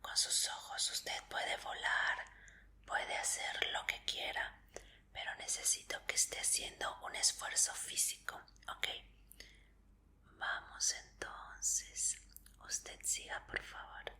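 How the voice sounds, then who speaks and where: A woman in an ASMR roleplay as a medical examiner, speaking in a breathy whisper with a gentle, polite, reassuring manner. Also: slow pace.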